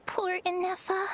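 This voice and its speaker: A woman voicing a small, excitable childlike fairy companion in a video game, with a squeaky, dramatic delivery. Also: a little hiss or hum, exaggerated voice. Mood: puzzled. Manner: exaggerated, third-person self-reference, dramatic.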